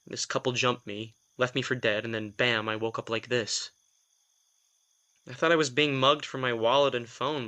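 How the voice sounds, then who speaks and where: A man's voice: medium pitch, sharp tone. A man acting a reluctant vampire in an audio drama, a sardonic, put-upon office worker who tells things in a wry, hesitant, self-deprecating way. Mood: grudging, dry.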